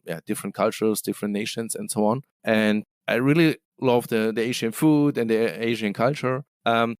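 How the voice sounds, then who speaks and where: A middle-aged man with as his talks through interview answers in a resonant, smooth voice. He hesitates often, filling gaps and restarting phrases. The sound is dry and close. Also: lowish voice, moderate pace, no room sound. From Germany. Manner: hesitant, many fillers and restarts. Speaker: guest, business development manager.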